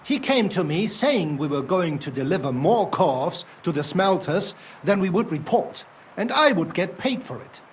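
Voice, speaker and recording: An actor playing a nervous, defensive middle-aged ore assayer with a German accent, for a video game. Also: male voice, high voice, sing-song, normal speed, slight background noise. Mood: fearful. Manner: defensive, then frightened and confessing.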